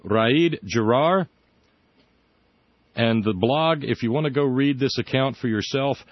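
A male radio talk show host speaks in a measured, earnest way. His middle-pitched voice comes through with a dull, lo-fi studio sound. The room sounds dry and close. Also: no room sound. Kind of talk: radio interview.

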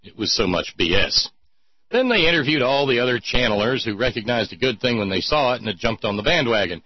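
A man's voice: medium pitch, big pitch swings, brisk, muffled recording. Sardonic male talk show host. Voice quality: sardonic, mocking. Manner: reads listener notes aloud, puts on mocking voices. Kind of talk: irreverent call-in talk show.

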